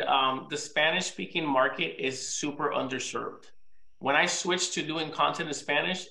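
A man in his thirties, a real estate agent, commenting energetically on a video call, talking fast and correcting himself. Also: a little high. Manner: energetic, rapid, self-correcting. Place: small room with foam panels and whiteboard. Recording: echoey small room.

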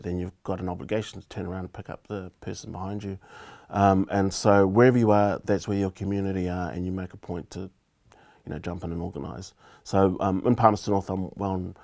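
A man in his forties with a New Zealand accent, a political candidate talking thoughtfully in a relaxed studio interview and often restarting his phrases. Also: deep voice, gravelly. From Cook Islands heritage, raised in New Zealand. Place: small indoor studio set.

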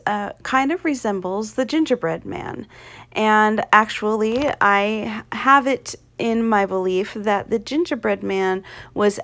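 A woman giving a casual painting tutorial in an unhurried, halting, reassuring way. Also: medium pitch. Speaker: art instructor. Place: indoors at a craft table.

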